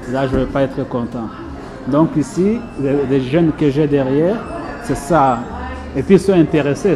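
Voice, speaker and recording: Frenchman giving a testimonial over music. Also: on the high side. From France. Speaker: pastry chef and business owner being interviewed. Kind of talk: casual personal testimonial.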